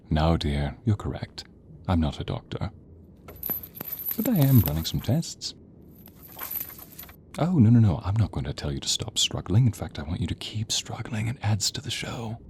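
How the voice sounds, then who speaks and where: A man performs a theatrical, sadistic male sorcerer for an audio roleplay. The voice is low and swings widely between silky menace and breathy whispers. Words are drawn out slowly as he addresses the listener directly. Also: big pitch swings, darkish tone, a little hiss or hum, heavily acted. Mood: smug, menacing.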